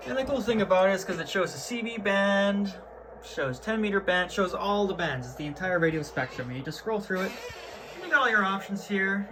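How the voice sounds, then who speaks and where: A man giving an informal, matter-of-fact, slangy hobbyist tour of his gear in an unscripted way, recorded indoors. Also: high-pitched. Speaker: hobbyist presenter. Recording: clearly noisy amateur video recording.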